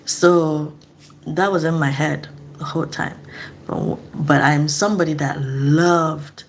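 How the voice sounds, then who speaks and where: A woman's voice: low-pitched, steady tone, slow pace, slight background noise. A middle-aged woman from eastern Nigeria, speaking in a casual, earnest vlog monologue and asking reflective questions. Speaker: vlogger.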